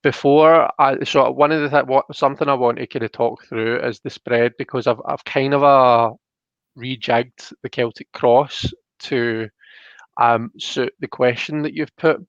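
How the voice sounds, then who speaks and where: Scottish man, gravelly fry, casual host, stop-start delivery, dry close recording. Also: mid-range voice, fairly even intonation, unhurried, no room sound, highs cut off. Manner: informal, stop-start, thinking aloud. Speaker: live stream host, tarot reader. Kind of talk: casual chat, talking viewers through a reading.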